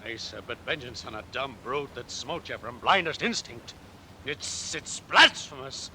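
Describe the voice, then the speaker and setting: An actor playing a principled, dutiful first mate of a whaling ship in a classic radio drama, with earnest, formal old-fashioned speech. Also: male voice, mid-range voice, animated, a little slow, bright, forceful tone. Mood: indignant. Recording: faint noise, old radio play recording.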